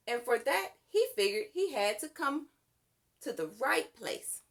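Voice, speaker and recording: A woman reading a children's story aloud in an expressive, deliberate storytelling manner. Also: high voice, creaky. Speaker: storybook reader.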